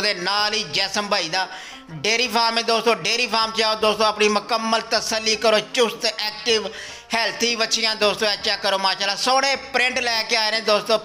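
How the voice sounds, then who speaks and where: A man with a Punjabi accent delivers a repetitive livestock sales pitch as a voice-over. His voice is high and very bright, and soft music runs underneath. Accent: Pakistani Punjabi. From Pakistan. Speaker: livestock sale presenter.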